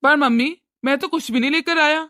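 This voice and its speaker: A woman voicing an exasperated, cash-strapped adult son who protests and reasons with his mother in an animated family drama. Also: sits high, brisk, no room sound, clean studio sound. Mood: defensive, worried.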